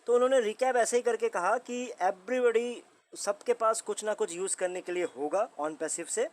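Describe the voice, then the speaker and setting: Indian guy w/ a high voice doing casual commentary, repeats himself, keeps throwing questions at the listener. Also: normal speed. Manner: conversational, repeats points, puts rhetorical questions to listeners. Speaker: host of a commentary channel on a company's updates. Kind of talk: informal explainer commentary.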